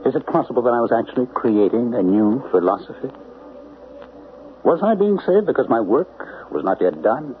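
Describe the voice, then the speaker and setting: Actor playing a brooding writer narrating an old radio mystery. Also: male voice, mid-range voice, sing-song, moderate pace, a little hiss or hum, natural voice. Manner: introspective, questioning. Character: brooding male writer of supernatural stories. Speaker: narrator and lead character, a writer. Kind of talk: 1970s radio drama narration. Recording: tinny, lo-fi old radio broadcast with music.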